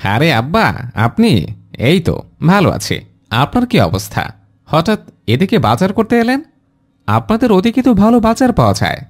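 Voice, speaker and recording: A man voicing an indulgent, slightly impatient father in a cartoon, with a coaxing, expressive manner. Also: sits high, moderate pace, long pauses, heavily acted. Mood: friendly surprise. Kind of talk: animated cartoon dialogue.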